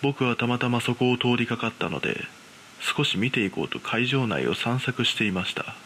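Horror radio drama lead: man playing an ordinary, frightened young guy, measured suspenseful narration, forceful tone, faint hiss. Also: medium pitch, animated, natural voice. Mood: calm narrating. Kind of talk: dramatised ghost story narration.

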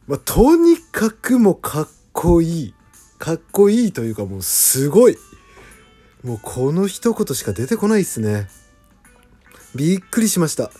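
A man's voice: on the high side, mellow. A man hosting a casual solo behind-the-scenes talk, speaking politely with enthusiastic emphasis.

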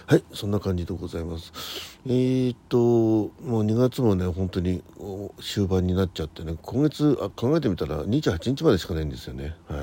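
Male host, 60s, deep mellow voice, rambling informal morning chat with muttered asides. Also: lively intonation, husky. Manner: halting, rambling, muttering to himself and correcting himself. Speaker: host of a morning audio diary show. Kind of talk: casual solo radio chat.

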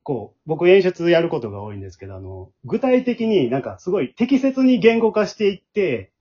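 A husky-voiced man with a Kansai accent chats as a podcast host. He talks quickly with lively swings in pitch, explaining things conversationally. The audio is a little dull and lo-fi. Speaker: amateur theatre director and podcast host. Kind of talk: casual podcast chat.

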